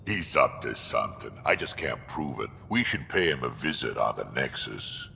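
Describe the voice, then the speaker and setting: An actor playing an ancient, blunt alien mercenary and grandfather with a gravelly voice in a video game. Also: a bass voice, big pitch swings, moderate pace, bright, forceful tone, long reverb tail, soft background music, full character voice. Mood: gruff, distrustful. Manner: blunt, coarse. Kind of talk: video game character dialogue.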